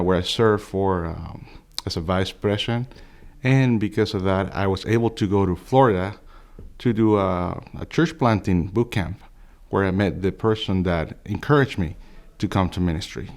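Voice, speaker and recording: Deep-voiced middle-aged guy from venezuela, calm and orderly, ministry student. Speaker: ministry student, former church lay-ministry leader. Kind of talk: personal testimony.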